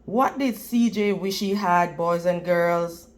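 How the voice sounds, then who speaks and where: A woman reading a picture book aloud to children in a deliberate, teacherly manner, pausing for questions. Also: on the low side. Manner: deliberate, teacherly, pausing to quiz and praise listeners. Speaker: children's read-aloud reader. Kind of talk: read-aloud storybook narration with questions to young listeners.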